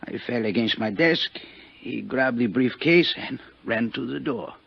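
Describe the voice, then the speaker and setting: A man's voice: medium pitch, a little slow, creaky, husky. An actor playing an injured, courteous Frenchman with a French accent and formal speech, in 1950s radio drama style. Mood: pained. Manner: formal, polite. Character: injured Frenchman, courteous and pained, recounting a robbery. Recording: dull lo-fi 1950s radio recording.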